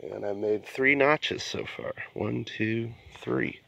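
A man's voice: mid-range voice, takes it slowly, vocal fry, fairly bright tone, a little hiss or hum, poor audio. A man giving a casual explanatory vlog narration as an amateur builder, recorded outdoors on a handheld camera. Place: outdoors in a wooded yard at a building site. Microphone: handheld phone camera, speaker behind it.

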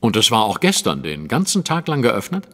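An actor playing a cool, analytical and self-assured detective in a German audio drama, with precise, formal diction. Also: male voice, mid-range voice, huge pitch swings, brisk, a bit bright, dry recording, clean studio sound. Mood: probing.

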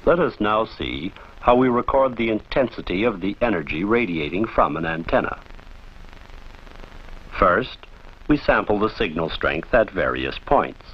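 A low male voice narrates an instructional film with lively, varied intonation. He speaks formally and with measured, didactic phrasing. The sound is that of an old training film, muffled and lacking detail. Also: husky.

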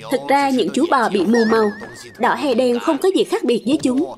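A patient old cartoon scholar who explains things calmly, at a high pitch, as a woman voices him. Loud music plays under the dubbed voice. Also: moderate pace, lightly acted. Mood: explaining. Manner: calm, explanatory. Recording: dub with loud music behind.

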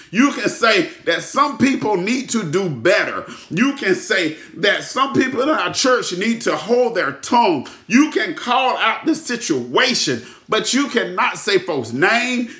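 A middle-aged preacher with a high, bright voice that swings from near-shouts to hushed asides. He repeats his lines for emphasis. Recorded on a phone held at arm's length, with the echo of a room at home. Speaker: pastor. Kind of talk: sermon. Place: room at home with ceiling lights. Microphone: phone camera at arm's length. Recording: echoey room.

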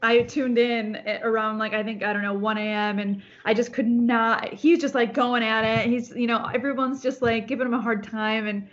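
A woman's voice: a little high, steady tone, normal speed, few pauses. A woman in her thirties chatting over a video call from home, rambling and restarting her sentences with easy laughs. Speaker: farm sanctuary founder. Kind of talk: casual video call chat. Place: home room. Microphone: laptop webcam on video call. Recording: dull lo-fi call audio, faint music.

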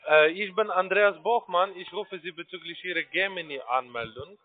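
A man with a foreign accent making a phone sales pitch in formal, halting German with grammar slips. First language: not German. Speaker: call-centre salesman. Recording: tinny narrow phone line.

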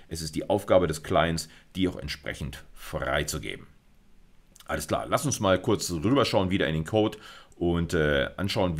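A middle-aged man lecturing at university level in an explanatory screencast, informal and emphatic, with frequent check-in words. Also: low voice. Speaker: university computer science lecturer. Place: indoors, recorded screencast.

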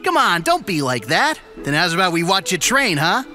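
A man's voice: high-pitched, big pitch swings, moderate pace, sharp tone, soft background music, full character voice. A man voicing a cocky, playful teenage hedgehog hero with teasing slang in a cartoon comic dub. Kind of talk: animated comic dub acting.

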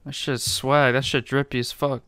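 A man with a clear, medium-pitched voice talks over online role-play gaming. He is playful and slangy, swears casually, and is recorded dry with no room sound. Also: level tone, normal speed, bright, forceful tone, no echo. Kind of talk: casual, joking gameplay chat and trolling.

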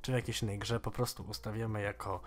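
A man in his twenties giving a casual, informal software tutorial in a slightly halting way. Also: lowish voice, little pitch movement. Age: 20s. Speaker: tech tutorial host.

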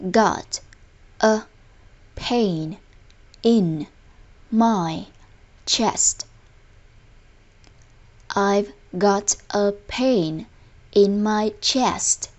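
Female language-lesson narrator, medium pitch, very slow scripted read with careful word-by-word enunciation. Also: darkish tone, muffled recording. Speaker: language teacher.

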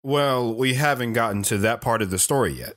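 A man's voice: mid-range voice, a little fast, a bit bright, no room sound, clean studio sound, lightly acted. A man playing a subdued military veteran, an understated guest telling his life story in an audio drama. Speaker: talk show guest.

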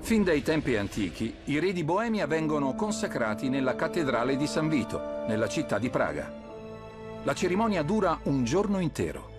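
Lively male documentary narrator. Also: on the high side, moderate pace. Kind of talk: scripted narration. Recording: voice-over with music underneath.

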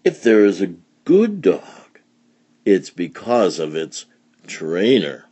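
A man's voice: fairly low pitch, very animated, normal speed, vocal fry, darkish tone, breathy edge, exaggerated voice. A man voicing a bossy, dramatic mother cat who scolds fondly in emphatic storybook rhyme. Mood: dramatic scolding. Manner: emphatic, rhyming, theatrical.

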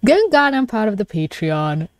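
Animated, gushing woman reacting live. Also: medium pitch, moderate pace, no room sound. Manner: gushing, giggly, flustered, swearing freely. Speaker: streamer reacting to a webcomic. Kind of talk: live reaction with casual commentary and reading aloud.

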